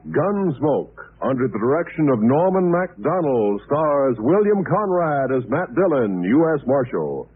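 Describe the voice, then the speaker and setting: A man's voice: mid-range voice, big pitch swings, a little slow, few pauses. A man announcing in formal, dramatic 1950s radio style, on a tinny old broadcast recording.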